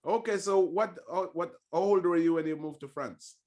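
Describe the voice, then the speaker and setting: A male podcast host with a slightly high voice asks eager questions and presses for clear answers. His manner is keen and persistent. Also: normal speed, a little mellow. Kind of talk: podcast interview.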